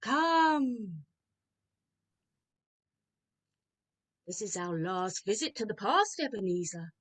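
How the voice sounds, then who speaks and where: Lady doing a solemn spirit for a radio play, high voice, real slow and dramatic, commanding. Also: bright, forceful tone, exaggerated voice. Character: solemn, relentless ghostly spirit of Christmas past. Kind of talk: rehearsed radio-script drama reading. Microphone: video call.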